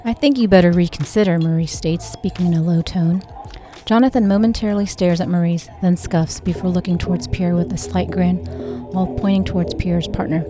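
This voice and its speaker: A woman's voice: lowish voice, fairly even intonation, brisk, mellow, soft background music. A woman reading a story aloud as scripted narration, in the role of narrator. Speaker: audiobook narrator and actor.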